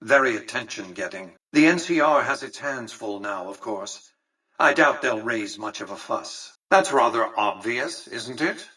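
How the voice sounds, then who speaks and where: A calculating older tycoon from a video game, a man used to command. His voice is bright and forceful with a husky grain. He speaks formally and precisely, looking down on whoever he addresses, and sounds set somewhat back from the microphone. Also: mid-range voice, lively intonation, measured pace, recorded from a distance, heavily acted, rough recording. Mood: explanatory, dry. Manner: condescending, precise, formal, exacting. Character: imperious, calculating older male tycoon commanding a robot army. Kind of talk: scripted video game character dialogue.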